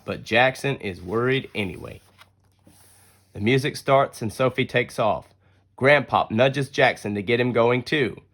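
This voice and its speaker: A man's voice: mid-range voice, animated, a bit bright. Man reading a children's picture book. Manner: deliberate storytelling with acted-out dialogue. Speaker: story reader. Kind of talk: expressive children's picture book read-aloud.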